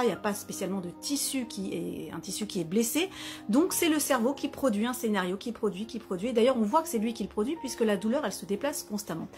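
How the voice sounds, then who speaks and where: Bright-voiced woman explaining to camera. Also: a little high. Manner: lively, explaining with examples and asides. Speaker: wellness author and practitioner.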